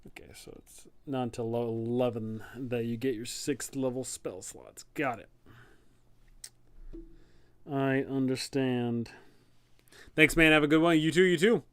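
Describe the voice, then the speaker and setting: A man's voice: mid-range voice, steady tone, measured pace, long pauses, a bit bright, clear, resonant tone, dry recording. A man hosting a livestream, thinking aloud in short, trailing phrases as he works and chats casually. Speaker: livestream host, tabletop game master and content creator.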